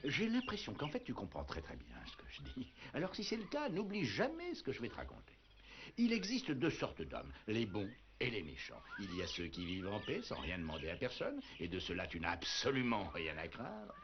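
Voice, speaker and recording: A man's voice: fairly low pitch, wildly swooping pitch, a little fast, a little hiss or hum. An actor playing a kindly, talkative older village man in a French-dubbed cartoon, coaxing and fussing with little questioning tags. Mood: earnest. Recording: dull lo-fi cartoon soundtrack, loud music behind.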